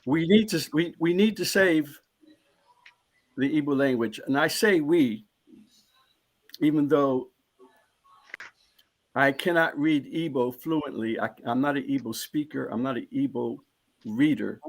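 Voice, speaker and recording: A man's voice: medium pitch, a little slow, pauses often, poor audio. A man speaking as a panelist in a live discussion, earnest and emphatic, often repeating a phrase as he builds toward his point.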